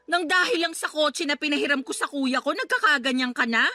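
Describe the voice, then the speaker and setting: A woman's voice: sits high, brisk, bright, forceful tone. A woman playing a defiant, irritable fiancée in a Tagalog radio romance drama, with snappy, whiny retorts. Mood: irritated. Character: defiant, irritable, self-centred fiancée quarrelling with boyfriend.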